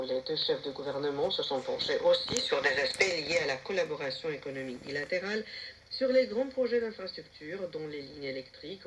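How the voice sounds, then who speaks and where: A man with a Romanian accent reading a news commentary with uneven emphasis and brief hesitations. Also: a little high. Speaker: radio commentator. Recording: shortwave radio with hiss.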